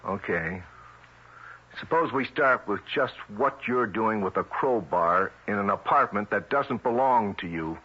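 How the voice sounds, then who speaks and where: An actor playing a tough, suspicious federal agent with a brusque, streetwise way of questioning, in 1970s radio drama style. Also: male voice, fairly low pitch, lively intonation, a little slow, sharp tone, slightly rough, exaggerated voice. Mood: calmer, explaining. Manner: brusque, interrogating, streetwise wisecracking. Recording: dull, noisy vintage radio recording.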